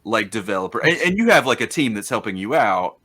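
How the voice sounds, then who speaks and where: A man in his thirties hosting an interview over a video call, halting and repeating words as he affirms his guest. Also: on the low side, sing-song, fairly bright tone. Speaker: host. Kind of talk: casual interview talk.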